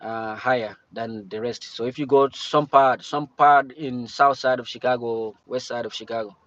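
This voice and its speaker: A man from Cameroon chatting casually on a livestream, talking in a halting way with many restarts and fillers. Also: medium pitch, steady tone, fairly bright tone, dry recording. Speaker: livestream host. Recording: dull lo-fi stream audio.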